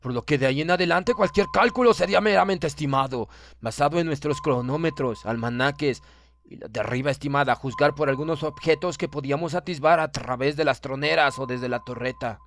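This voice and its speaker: A man's voice: a little high, fairly quick, fairly bright tone, slight background noise. A man reads a horror story aloud as an audiobook narrator, in dramatic scripted narration. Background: background music.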